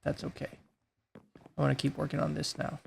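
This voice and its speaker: Gravelly-voiced man losing his voice. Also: on the high side, monotone, a little fast, background noise. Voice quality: gravelly, raspy. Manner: laid-back, thinking aloud while building. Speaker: gaming YouTuber. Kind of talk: casual gameplay commentary.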